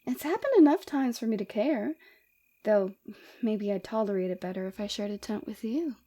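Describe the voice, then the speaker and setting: Casting: woman to play seductive villainess for ASMR roleplay; soft, dark-toned, breathy delivery, coy and teasing, close on mic. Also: medium pitch, normal speed, close to an everyday voice. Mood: playful, flirty.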